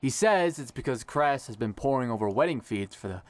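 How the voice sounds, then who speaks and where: A man plays an easygoing young friend in an audio drama, recorded dry and close. His mid-pitched voice moves around with lively intonation, casual and gently teasing. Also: brisk, dry recording, natural voice. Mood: amused, teasing.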